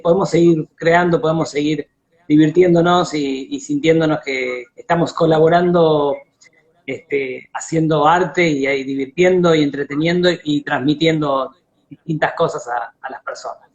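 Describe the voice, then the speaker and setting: A man's voice: fairly high pitch, steady tone, moderate pace, a little mellow. A man with an Argentine accent hosting a casual live interview, appreciative and wordy, over an internet video call. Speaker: interview host. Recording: dull lo-fi livestream audio.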